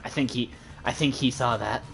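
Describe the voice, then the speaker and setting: A man's voice: mid-range voice, fairly even intonation, normal speed, vocal fry, music in the background. A young man with a Midwestern American accent giving playful, giggly commentary while streaming a game. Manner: playful, giggly, joking with chat. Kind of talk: casual gameplay commentary.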